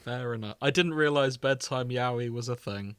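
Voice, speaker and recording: A man's voice: mid-range voice, moderate pace, a bit bright, a little hiss or hum. A young man co-hosting a podcast in casual banter, with a wry, teasing manner. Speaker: podcast co-host.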